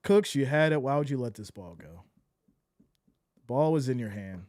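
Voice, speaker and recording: A man doing live fan play-by-play of a football game, excitable and losing his voice, swinging from shouts to deflated mutters. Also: medium pitch, normal speed, dry recording, clean studio sound.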